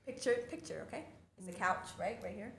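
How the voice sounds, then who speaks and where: A woman's voice: mid-range voice, lively intonation, a little fast, creaky. A young woman from Oregon co-hosting a podcast, telling a story in a laid-back, casual way and swearing freely. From Oregon, USA. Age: young adult. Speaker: podcast co-host.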